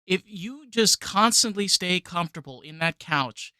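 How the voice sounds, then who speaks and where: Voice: mid-range voice, animated, a little slow, sharp tone, clean tone, no echo. A podcast host from New York speaking casually and emphatically, leaning on filler phrases. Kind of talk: casual conversation.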